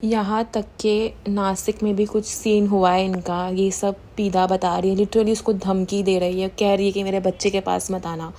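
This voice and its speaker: A woman's voice: mid-range voice, flat delivery, moderate pace, hardly any pauses, mellow. A young woman hosting a gossip commentary show, chatting casually and switching freely between Hindi and English.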